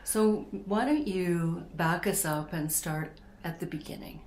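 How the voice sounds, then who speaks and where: A woman interviewing a guest, gently prompting and encouraging him to tell his story. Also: fairly low pitch, unhurried. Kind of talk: casual interview. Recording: echoey room, faint noise.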